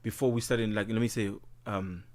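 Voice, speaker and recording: A man's voice: fairly low pitch, fairly even intonation, normal speed. A man talking casually in an interview as a musician, laid-back, full of slang and fillers. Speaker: guest musician.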